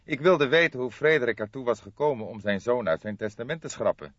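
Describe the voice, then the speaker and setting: A man voicing a stern, gloomy, formal middle-aged gentleman in an audiobook, speaking solemnly and with emphasis. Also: medium pitch, lively intonation, fairly quick, pitched above the performer's own voice. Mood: earnest. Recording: dull, roomy audiobook recording.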